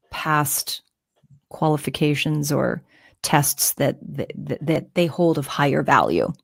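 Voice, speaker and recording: A middle-aged woman with a low, measured voice, speaking at an unhurried pace. She answers interview questions earnestly, leaning into her points with emphatic storytelling. The sound is close and dry. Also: gravelly, a little mellow, dry recording. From Canada. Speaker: guest, former news anchor.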